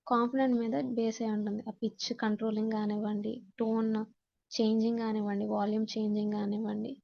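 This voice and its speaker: A woman's voice: on the high side, creaky, darkish tone, lo-fi sound. A woman with a Telugu accent giving a lesson as an instructor, reading out points and then explaining them with repetition. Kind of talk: slide-based lesson, explaining in Telugu and English.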